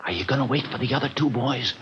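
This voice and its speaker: An actor playing a nosy, talkative hotel desk clerk who is eager to help, in a 1950s radio crime drama. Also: male voice, medium pitch, quick pace, breathy edge. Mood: fussing, insistent. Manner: inquisitive, talkative, pestering. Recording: muffled, slightly noisy vintage radio recording.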